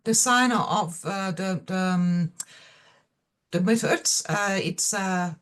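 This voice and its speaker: A woman with a Danish accent and Danish as her first language, a professor presenting research in a seminar talk over a video call. She speaks hesitantly, with many pauses and fillers. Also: medium pitch, fairly bright tone.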